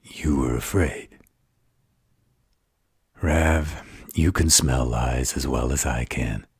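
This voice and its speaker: A man playing a sardonic, flirtatious vampire interrogator in an audio drama, with a slow, sarcastic delivery. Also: dry recording, full character voice. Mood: sardonic, probing. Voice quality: very deep, gravelly, husky. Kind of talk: dramatic audio roleplay acting.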